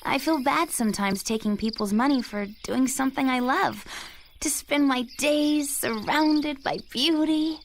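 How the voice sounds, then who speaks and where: A woman playing a gentle, cheerful young florist in an animated video game, easygoing and dreamy. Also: high-pitched, unhurried, slight background noise. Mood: content, dreamy.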